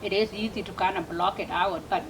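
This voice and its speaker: A woman's voice: mid-range voice, steady tone, a little fast. A woman in her thirties with a South Sudanese accent, giving an earnest personal account as an interview guest. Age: 30s. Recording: clear background noise.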